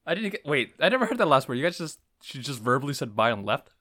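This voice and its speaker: A man's voice: mid-range voice, big pitch swings, fast, pushed and bright, no echo, studio quality. A man hosting a podcast in casual chat, teasing his guest and laughing easily. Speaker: podcast host.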